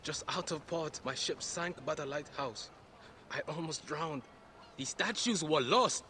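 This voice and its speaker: An actor as a frightened youth, a male novice pleading earnestly. The voice sits fairly high, with faint noise behind it, in dramatic video game style. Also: unhurried, natural voice. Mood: anxious pleading.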